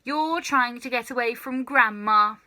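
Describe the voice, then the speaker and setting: A woman's voice: fairly high pitch, measured pace, pushed and bright. A woman voicing a nasty, bossy old grandmother from a children's storybook, snapping commands and savouring key words. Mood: sinister, menacing. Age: older. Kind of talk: children's storybook character reading.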